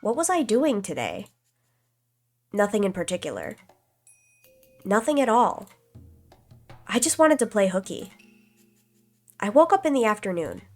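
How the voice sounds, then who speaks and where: Woman streamer, kinda stuffed up, reading a visual novel calm and even, faint music behind. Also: mid-range voice, measured pace, long pauses. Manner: calm, even-paced narration with casual asides. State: stuffy nose, slight cough.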